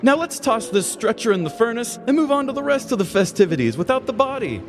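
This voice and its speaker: A man's voice: extremely high pitch, very animated, fast, music in the background. A man playing a melodramatic, flippant villager in mourning in a comedic audio story. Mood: showy.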